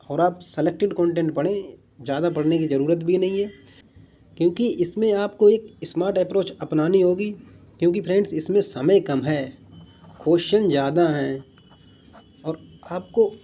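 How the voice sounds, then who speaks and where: A man's voice: high-pitched, warm tone. A young man giving informal exam strategy advice as a coaching instructor, earnest and repetitive in the way he addresses his listeners.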